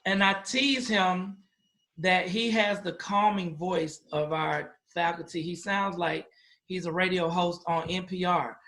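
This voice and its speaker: Male interview host, notably high voice, familiar and teasing, informal chat. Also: steady tone, measured pace, sharp tone. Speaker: host of a school podcast.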